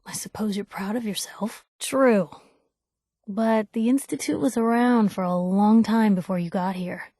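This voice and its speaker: A spirited young woman reporter from a wasteland video game. She is sardonic and chatty, with expressive, wry lines at a middling female pitch. The sound is dry and close, with no room to it. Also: a little slow, dry recording. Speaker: video game companion character. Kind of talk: acted game dialogue lines.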